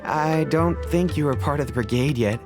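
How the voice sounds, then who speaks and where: A man's voice: a little high, moderate pace, lightly acted. A man playing a level-headed, modest mercenary in an anime game, talking casually and sensibly. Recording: faint music behind the voice.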